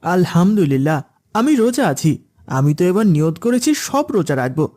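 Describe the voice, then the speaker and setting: Man as a lively, mellow-toned, pious cartoon schoolboy. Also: high voice, moderate pace. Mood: friendly, curious. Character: diligent, pious, friendly schoolboy friend. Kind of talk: cartoon dialogue.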